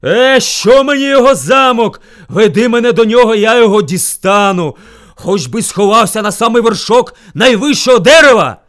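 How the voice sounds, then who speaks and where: A man's voice: high voice, expressive, normal speed, fairly bright tone, pitched above the performer's own voice. A man voicing a furious, hungry bear who bullies and threatens as lord of the forest in a folk tale. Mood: roaring rage. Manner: roaring, threatening, bellowing demands. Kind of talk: animated folk tale storytelling.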